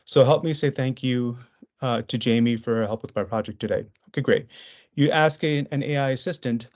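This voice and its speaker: A man's voice: medium pitch, a little fast, a little mellow, highs cut off. Man presenting a casual business webinar. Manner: conversational, with frequent fillers and restarts. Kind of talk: webinar presentation walking through slides.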